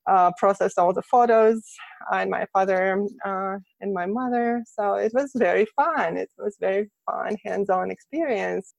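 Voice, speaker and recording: A Russian-accented woman talking about her work in a relaxed interview, breaking into laughs between phrases. Her voice has a gravelly, creaky texture. The sound is close and dry, with no room around it. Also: mid-range voice, moderate pace, dry recording. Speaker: guest, boudoir photographer. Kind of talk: casual interview chat.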